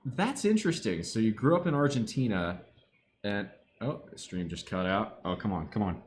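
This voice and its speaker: Man, lowish voice, casual stream chatter, desk mic, faint background hum. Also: moderate pace. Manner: relaxed chatter, bursts into excited yelling at the game. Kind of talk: casual live-stream chat. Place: home room.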